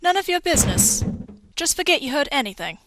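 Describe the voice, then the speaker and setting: A woman's voice: high-pitched, very animated, fairly quick, over music. A woman voicing a hostile, scornful scientist in a game fandub, curt and sneering in her delivery. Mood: irritated. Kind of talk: video game fandub acting.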